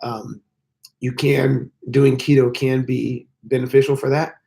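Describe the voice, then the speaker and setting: Male fitness coach, hoarse and gravelly, casual rambling live-stream chat, mid-pitched voice. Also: flat delivery, unhurried, mellow. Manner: rambling, frequent fillers. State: hoarse, losing his voice.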